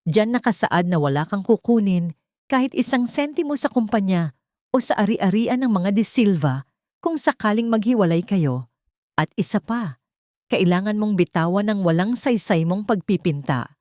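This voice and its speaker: A woman's voice: medium pitch, lively intonation, moderate pace, a little mellow, dry recording, studio quality, lightly acted. A woman voicing a cold, haughty old rich matriarch in a dramatic audio story, with an imperious, cutting manner. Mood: commanding.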